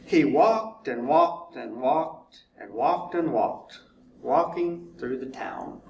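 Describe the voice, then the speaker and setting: Slow, deliberate man reading a storybook. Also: medium pitch, expressive, highs cut off. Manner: deliberate, drawn-out repetition for effect. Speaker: fire chief reading to children. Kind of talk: children's storybook narration. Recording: roomy echo, distant mic, faint noise.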